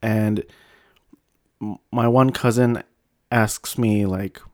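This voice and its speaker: A man's voice: fairly low pitch, fairly even intonation, slow pace, a little mellow, no room sound, studio quality. A young man telling a personal story in a casual, self-deprecating, rambling way with frequent restarts. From United States.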